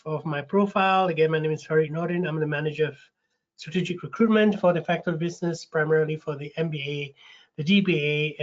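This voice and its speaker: A man with a slight rasp from a cold hosts an online info session, friendly, with lots of ums and restarts. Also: a little high. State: getting over a cold, a bit raspy. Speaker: webinar host, recruitment manager. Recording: dull lo-fi webinar audio.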